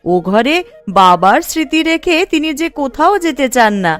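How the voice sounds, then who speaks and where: Woman as a high-voiced, fussing village housewife. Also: very animated, normal speed, soft background music. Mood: caring. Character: caring, busy young village housewife, a little tart. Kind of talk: Bengali cartoon dialogue.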